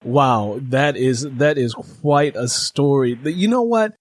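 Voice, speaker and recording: A man's voice: mid-range voice, animated, slow pace, no room sound. A middle-aged man hosting a casual interview in a chatty, jokey way, repeating phrases as he goes. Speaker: interviewer, host.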